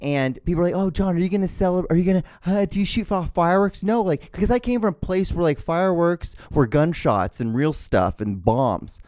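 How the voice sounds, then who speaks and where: A man's voice: high-pitched, fairly quick, hardly any pauses, a little mellow, no echo, studio quality. A man from Honduras hosting his own podcast, talking casually and ranting with lots of profanity and stammered restarts. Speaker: podcast host. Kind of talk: casual solo podcast monologue.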